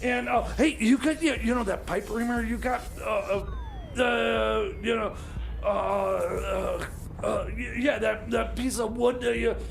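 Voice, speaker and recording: A man playing a flustered, eager time machine mechanic in radio comedy, stammering and rambling haltingly. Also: extremely high pitch, fairly even intonation, gravelly, slight background noise, exaggerated voice, low-quality recording. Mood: excited, fumbling.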